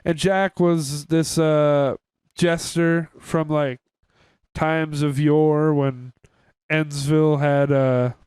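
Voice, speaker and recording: Male podcast co-host, relaxed conversational review style, clean smooth tone in a dry recording, loosely phrased. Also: fairly high pitch, drawn-out words, no echo. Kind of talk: casual chat reviewing cartoon episodes.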